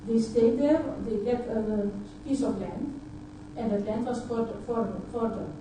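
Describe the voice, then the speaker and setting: A woman whose first language is Dutch, from Suriname, giving a halting personal talk at a conference, often repeating words and filling pauses with uh. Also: fairly high pitch, background noise. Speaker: conference speaker. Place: large conference hall. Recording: echoey hall, voice picked up from far off.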